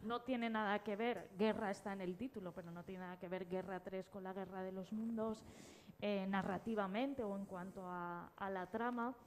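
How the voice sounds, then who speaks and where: A woman's voice: mid-range voice, fairly even intonation, normal speed, a little hiss or hum. A woman from talking casually and unscripted as an audio fiction creator at a round table. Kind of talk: casual unscripted panel talk. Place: public round-table event.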